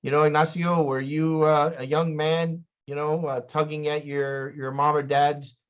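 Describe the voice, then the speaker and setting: A male podcast host welcomes his guest and asks loose, friendly questions with plenty of fillers. His voice sits a bit high. The audio sounds dull and lo-fi. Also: flat delivery, a little slow, clean tone. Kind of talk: casual interview.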